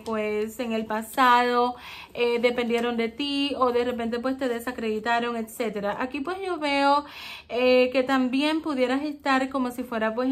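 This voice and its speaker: A woman giving a tarot reading for a zodiac sign, talking in a halting way with many fillers and checking in with the listener. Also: high voice. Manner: hesitant, many fillers and ¿ok? tags. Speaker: tarot reader.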